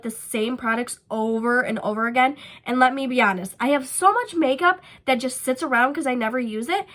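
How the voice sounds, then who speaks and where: A woman's voice: sits high, fairly bright tone. A young woman with a stuffy, nasal voice from a cold, chatting casually through a makeup tutorial with frequent ums and likes. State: sick with a stuffy nose. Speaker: beauty vlogger.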